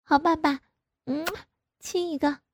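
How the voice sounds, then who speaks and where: A woman's voice: sits high, a little slow, vocal fry, dark tone, slight background noise, pitched above the performer's own voice. A woman voicing a pouty, coy young married woman who wheedles in a raised voice. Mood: wheedling. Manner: pouting, wheedling.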